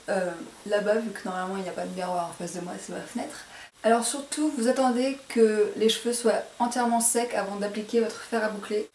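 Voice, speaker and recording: A woman talks viewers through a beauty routine in a casual, chatty way. She is slightly self-conscious about how she pronounces things. Her mid-pitched voice sounds in a roomy indoor space with faint noise behind it. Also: a little mellow. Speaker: beauty vlogger presenting a hair tutorial. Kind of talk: casual chatty tutorial to camera. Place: indoor room at home.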